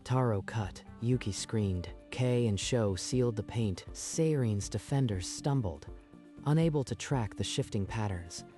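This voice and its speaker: A man's voice: on the low side, a little slow, dark tone, faint music behind. A man narrating a dramatic story from a script in a storytelling style.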